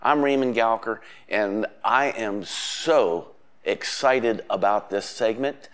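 A man's voice: fairly low pitch, slow pace, a bit bright. A man hosting a community TV show, enthusiastic and hesitant, often using fillers. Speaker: host. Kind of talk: community TV interview.

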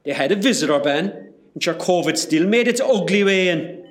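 A man's voice: high voice, lively intonation, normal speed. A man playing a teasing, easygoing hospital porter of about forty with a West Cork Irish accent in an amateur radio comedy. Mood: earnest. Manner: bantering, chatty.

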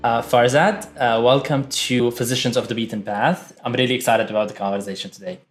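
Male podcast host, mid-pitched voice, formal intro read over a clear guitar music bed. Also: steady tone, fairly quick. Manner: reads a formal bio, then speaks with filler words. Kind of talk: scripted guest introduction.

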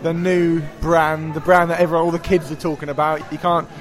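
A man's voice: on the high side, flat delivery, a little fast. A man making casual banter among friends.

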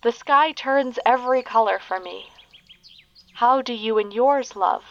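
A woman voicing the earth, a wise and motherly presence who speaks calmly and slowly. The tone is soft and nurturing. It is a storybook figure read aloud. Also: on the high side, highs cut off. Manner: slow, calm and nurturing.

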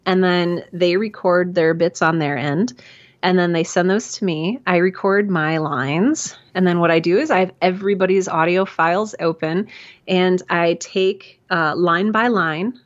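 A woman's voice: lowish voice, a little slow. Chatty woman, lo-fi remote line. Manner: chatty, self-deprecating, many fillers and restarts. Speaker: podcast guest, audio drama creator. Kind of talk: conversational interview. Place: home room hung with towels.

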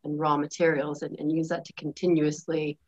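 A woman's voice: low-pitched, flat delivery, fairly quick, lo-fi sound. A woman speaking on a panel about sustainability, explaining at length with many fillers while losing her voice and fighting a cough. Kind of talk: panel discussion.

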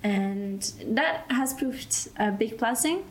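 A young woman from Belgium, a mission school student, answering interview questions in an unhurried way and often pausing to find her words. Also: fairly high pitch, animated, a little hiss or hum, low-quality recording. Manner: unhurried, searching for words, restarts.

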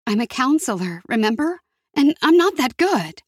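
A woman's voice: sits high, very animated, moderate pace, husky, no room sound, studio quality, pitched above the performer's own voice, exaggerated voice. A woman voicing a shaken counselor who fires off anxious, urgent questions. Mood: alarmed.

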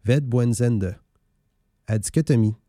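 A man's voice: medium pitch, slow pace, mellow, no room sound, studio quality. A man presenting a music radio show in a chatty, self-correcting way, with small chuckling asides. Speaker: radio host. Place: radio studio.

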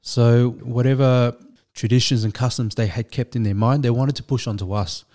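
Mellow-voiced man, reflective guest. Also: medium pitch, steady tone. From Australia. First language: Vietnamese. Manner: measured, thoughtful, with frequent restarts and fillers. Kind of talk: reflective storytelling.

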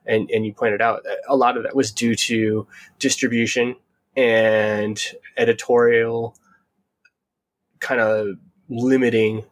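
A man's voice: medium pitch, little pitch movement, slow pace. A man co-hosting a podcast in casual discussion, thinking aloud in a conciliatory, tentative way. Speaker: podcast host.

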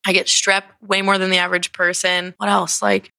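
A woman's voice: mid-range voice, completely flat delivery, fairly quick, creaky, bright, forceful tone, dry recording. A young woman in her twenties from Connecticut, hosting a solo podcast in a candid, rambling way, full of fillers and swearing, and sounding sniffly and congested. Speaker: podcast host. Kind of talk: casual solo podcast talk.

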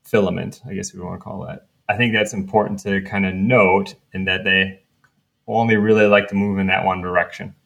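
Man, very low voice, gravelly fry, relaxed chatty podcast host. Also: fairly quick. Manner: relaxed, chatty, thinks aloud. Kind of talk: casual podcast chat.

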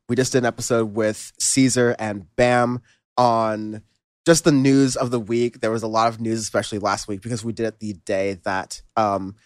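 A man hosting a music podcast in casual chat, relaxed and rambling with lots of fillers and restarts. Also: fairly low pitch, no echo, clean studio sound. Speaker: podcast host.

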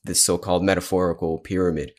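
A laid-back man in his twenties with a very deep voice. He hosts a relaxed chat, agreeing easily and tossing in a friendly "man" now and then. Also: fast. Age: 20s. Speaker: podcast host. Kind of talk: casual podcast conversation.

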